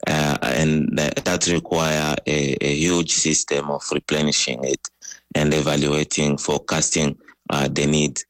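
A male doctor giving a remote news interview, speaking haltingly with many pauses. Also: extremely low pitch, flat delivery, no echo. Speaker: doctor with an aid group, interviewee. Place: remote link.

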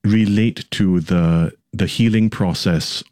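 A male interviewer with a low, dark, relaxed voice in a dry recording. He speaks in a measured, attentive way, phrasing carefully and affirming as he listens. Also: no room sound. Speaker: interviewer, host.

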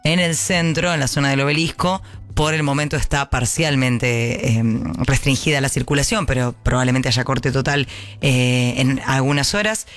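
A man's voice: mid-range voice, fairly bright tone. A man with an Argentine Rioplatense accent hosting a morning radio show, reading headlines and chatting playfully with listeners. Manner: playful, chatty, drawn-out emphasis. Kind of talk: radio press review and chat. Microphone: studio microphone.

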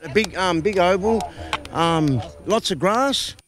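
Man, dance teacher, slightly high voice, talks haltingly with lots of fillers. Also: animated, crowd noise. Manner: halting, with many fillers and restarts. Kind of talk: casual interview.

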